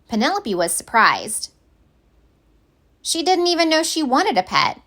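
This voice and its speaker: Female story reader for kids' picture books: higher voice, very lively intonation, expressive and deliberate. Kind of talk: children's picture book read-aloud.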